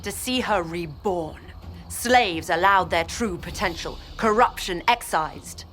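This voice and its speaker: An actress playing a proud, idealistic mage lieutenant in a video game cutscene, speaking formally and grandly. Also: female voice, fairly low pitch, slow, a bit bright, faint music behind. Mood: fervent.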